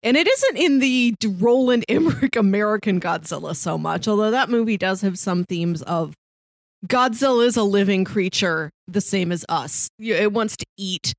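A woman's voice: mid-range voice, expressive, normal speed, no room sound, clean studio sound. A woman co-hosting a film podcast, explaining her thoughts in a casual, thoughtful discussion. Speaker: podcast co-host.

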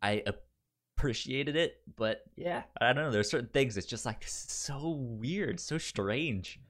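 A man co-hosting a podcast, chatting casually about film in a halting, loosely phrased way. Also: medium pitch, expressive, a bit bright. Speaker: podcast co-host.

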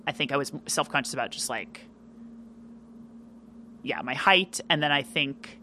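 Chatty woman with a bright voice. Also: a bass voice, expressive, a little slow, a little hiss or hum. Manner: chatty, many fillers, loud voice. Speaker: podcast guest. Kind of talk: casual interview chat.

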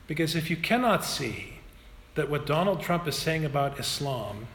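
A man's voice: fairly high pitch, fairly bright tone. A man speaking as a parish priest, introducing a guest speaker with earnest passion and sudden shifts in pace. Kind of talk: talk introduction. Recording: echoey hall recording with faint hum.

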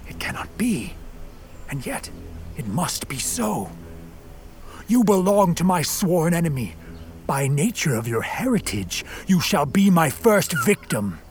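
An actor in a radio play voicing an embittered, vengeful created monster, with a husky voice and grandiose, formal delivery. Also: medium pitch, big pitch swings, darkish tone, soft background music. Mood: grim, vengeful. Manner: grandiose, theatrical, deliberate delivery. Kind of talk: radio play acting, formal archaic speech.

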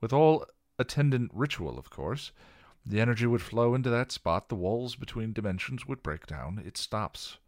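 A man voicing a stern, scholarly older man who explains things in a grave, measured, lecturing way. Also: on the low side, big pitch swings, no room sound, clean studio sound, pitched above the performer's own voice.

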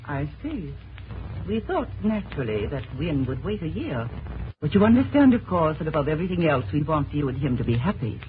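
An actress playing a genteel, middle-aged upper-class mother in a 1940s radio drama, polite, measured and condescending. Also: deep voice, very animated. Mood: politely reserved. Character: genteel, gracious but reserved upper-class society mother. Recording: tinny, noisy old radio broadcast, distant.

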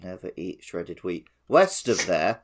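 Casting: podcast co-host with a very animated, up-and-down delivery for casual gaming chat. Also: sits low, unhurried. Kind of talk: casual podcast chat about a video game.

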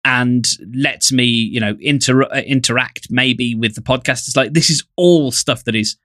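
A man's voice: mid-range voice, normal speed, fairly bright tone, dry recording, studio quality. A man with an English accent co-hosting a podcast, talking casually in a wordy, jokey way. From England. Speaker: podcast co-host.

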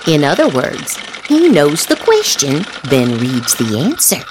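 A woman's voice: low voice, wildly swooping pitch, measured pace, noisy background. An American woman hosting a show, reading social media posts aloud in a dramatic, emphatic way with exaggerated inflection. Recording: loud music bed behind the voice. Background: loud music.

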